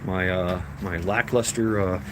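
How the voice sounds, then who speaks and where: A man's voice: deep voice, fairly even intonation, unhurried, background noise. A middle-aged American man hosting a casual livestream, rambling through stories with swearing and chuckles. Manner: sweary, laughing, meandering storytelling. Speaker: livestream host.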